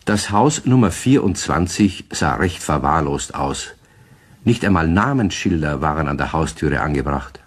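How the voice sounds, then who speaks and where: A man's voice: rumbling low, animated, normal speed, breathy edge, exaggerated voice. A man narrating a children's radio play as a genial storyteller, in scripted storybook style. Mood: storytelling.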